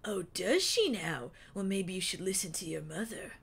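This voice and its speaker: A woman voicing a sad, wise, eccentric wandering man, speaking softly and slowly in a hushed, husky voice. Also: mid-range voice, sing-song, exaggerated voice. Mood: gentle, wistful. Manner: soft, hushed, drawling delivery.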